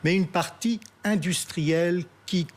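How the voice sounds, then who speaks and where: A male analyst comments on politics without a script, in a somewhat high-pitched voice. He speaks haltingly, searching for words and repeating parts of his phrases. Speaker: studio guest analyst.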